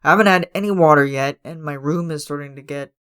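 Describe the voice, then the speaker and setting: A man hosting a podcast from his room at home, hoarse and raspy as he loses his voice, congested and coughing, rambling with lots of fillers and restarts. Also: a little high, quick pace, fairly bright tone. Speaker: podcast host. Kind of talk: casual solo podcast chat.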